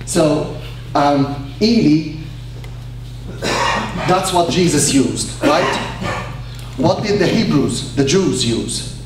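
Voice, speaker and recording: A man's voice: fairly high pitch, expressive, very slow, pauses often. A man from Syria, a native Arabic speaker with a Syrian Arabic accent, explaining didactically on a panel. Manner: didactic, questions and answers himself. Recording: echoey hall, distant mic, faint hum.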